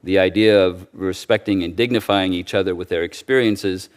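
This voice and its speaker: A man giving formal testimony as a judicial nominee, answering carefully with a hesitant, halting, stammering delivery. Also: low-pitched, fairly even intonation, smooth.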